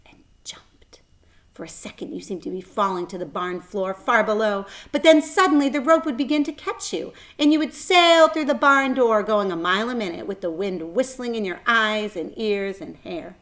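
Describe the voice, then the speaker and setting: A woman reading a children's book aloud as a storyteller, expressive, with dramatic emphasis and shifting volume. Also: mid-range voice.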